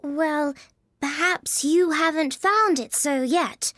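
A man's voice: extremely high pitch, takes it slowly, bright, forceful tone, no echo, clean studio sound, pitched above the performer's own voice, full character voice. A man voicing a shy, polite, flustered little girl in a storybook reading, speaking hesitantly. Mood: politely earnest.

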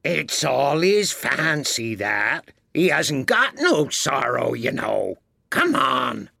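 A man voicing a gruff, impatient male Gryphon with a rough, ungrammatical way of talking. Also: medium pitch, big pitch swings, takes it slowly, pushed and bright, no room sound, studio quality, pitched above the performer's own voice, heavily acted. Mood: dismissive. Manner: brusque, ungrammatical, bossy.

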